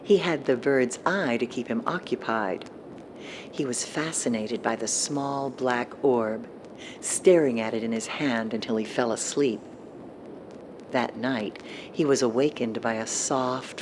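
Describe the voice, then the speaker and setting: Very low-voiced woman narrating horror. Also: measured pace, noisy background. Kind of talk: scripted horror storytelling. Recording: music behind the narration.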